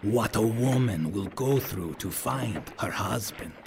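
A gravelly, rough-edged boatman in an audio drama. He takes his time and muses wryly, his pitch rising and dipping freely, with clear ambient noise around him. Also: lively intonation, measured pace. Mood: musing, teasing. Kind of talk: full-cast audio drama acting.

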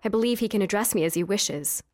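A woman's voice: mid-range voice, animated, fast talker, lightly acted. An actress playing a frank, wry young noblewoman and tender wife in an audio drama, with a direct way of talking.

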